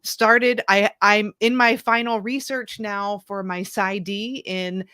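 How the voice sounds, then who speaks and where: A woman's voice: medium pitch, steady tone, slow, sharp tone, clean tone, dry recording, clean studio sound. A woman coach in her thirties, a guest telling her story in an earnest, reflective way. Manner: earnest, reflective, restarts and fillers. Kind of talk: conversational interview.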